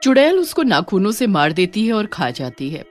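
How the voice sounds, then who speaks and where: A woman's voice: mid-range voice, very animated, normal speed, faint music behind. A woman storyteller reading a scripted horror story narration.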